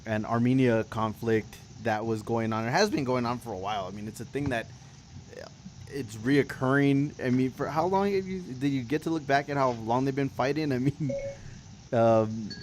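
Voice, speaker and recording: A man hosting a political talk show, discussing news casually in halting, hesitant phrasing. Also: mid-range voice, a little mellow, slight background noise.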